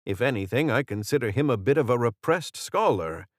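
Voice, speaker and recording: A man voicing a composed older woman in authority, direct and measured, in an audiobook story. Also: medium pitch, lively intonation, no echo, clean studio sound, natural voice. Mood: reasoning.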